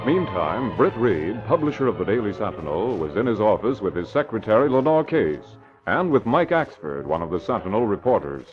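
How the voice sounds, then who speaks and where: A muffled vintage radio recording with loud music. a dramatic serial narrator, sweeping up and down in pitch. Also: male voice, very animated, fairly quick.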